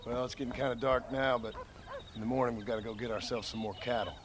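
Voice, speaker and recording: An actor playing a gruff, dry-spoken reformed outlaw rancher and father with an American Western accent in a video game. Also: medium pitch, fairly even intonation, fast, background noise, lightly acted. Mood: dry, warm. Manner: dry, terse. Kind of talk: video game cutscene acting.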